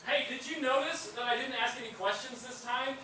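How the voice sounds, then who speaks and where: A man's voice: very high-pitched, fairly quick, pushed and bright, distant mic. A man playing a clueless stand-in narrator who hesitantly fumbles through exposition in a comic stage reading. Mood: sheepish. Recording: echoey stage recording from afar, faint noise.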